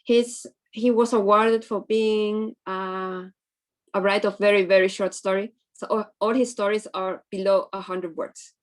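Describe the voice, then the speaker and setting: A woman gives a conference talk over a computer mic on a video call. Her pitch sits in the middle, and her delivery is loose and informal, with frequent breaks and restarts as she searches for the next word. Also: fairly even intonation, a little slow. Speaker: presenter on English teaching.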